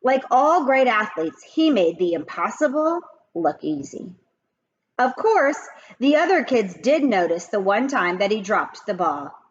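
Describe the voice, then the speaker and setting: Lively woman reading a storybook aloud. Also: fairly high pitch, muffled recording. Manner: lively, expressive, addresses kids directly. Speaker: teacher reading to children. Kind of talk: children's read-aloud with asides.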